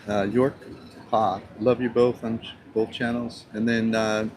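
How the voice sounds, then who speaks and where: A man co-hosting a live travel vlog, talking in a relaxed, rambling way full of fillers. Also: on the low side, measured pace, slight background noise. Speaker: vlog co-host. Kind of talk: casual live-stream chat. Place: restaurant at breakfast with crowd around. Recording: busy crowd chatter behind.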